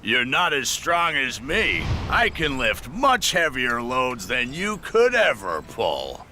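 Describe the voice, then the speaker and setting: A man's voice: mid-range voice, wildly swooping pitch, takes it slowly, bright, forceful tone, slight background noise, full character voice. A man voicing a grumpy, mocking dockside crane in a children's cartoon, grumbling and scornful. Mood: boastful, scornful. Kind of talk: children's cartoon character acting.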